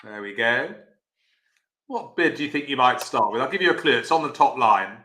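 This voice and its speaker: Man, bridge teacher, loud voice, forceful bright tone, encouraging, echoey room. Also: mid-range voice, animated, moderate pace. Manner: chatty, encouraging, explains step by step. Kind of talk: live online lesson.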